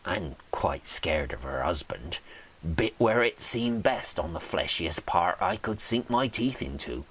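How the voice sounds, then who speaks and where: A man reading a poetic monologue with a droll, deliberate delivery. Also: low-pitched, very animated, fairly bright tone, breathy edge, poor audio. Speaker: poet reading own poems. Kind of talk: dramatic monologue poetry reading.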